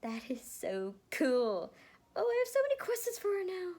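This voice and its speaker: A woman voicing a cocky, excitable fifteen-year-old boy in a dramatic, exclaiming way for a story reading. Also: very high-pitched, moderate pace, voice pushed up, full character voice. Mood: awed excitement.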